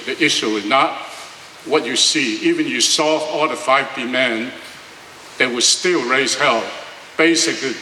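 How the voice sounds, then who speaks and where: A man's voice: mid-range voice, sharp tone, slight background noise. Blunt older man from Hong Kong. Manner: blunt, opinionated, plain-spoken. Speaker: panelist, former student striker. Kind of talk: panel discussion answer. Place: auditorium panel event. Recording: roomy hall, mic at a distance.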